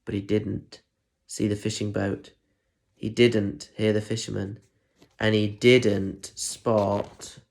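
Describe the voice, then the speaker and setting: A man's voice: lowish voice, creaky, darkish tone. A man reading a picture book aloud to children with lots of expression, breaking off for chatty asides and questions. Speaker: primary school teacher reading aloud.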